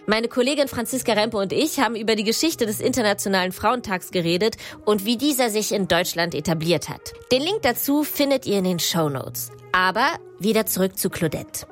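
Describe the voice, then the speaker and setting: A woman hosting a podcast, moving between scripted narration and addressing guest and listeners. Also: mid-range voice. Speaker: podcast host.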